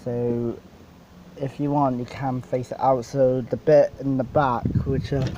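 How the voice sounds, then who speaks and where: A man giving a casual, unscripted equipment walkthrough as a DJ, rambling and halting, with informal, blunt wording. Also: mid-range voice, level tone, muffled recording, noisy background. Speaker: DJ presenting his PA setup.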